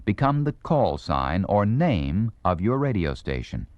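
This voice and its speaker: A man's voice: fairly low pitch, sing-song, unhurried, no echo. An American man reading formal, authoritative scripted narration for a 1970s instructional record. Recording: slightly dull, dated record sound.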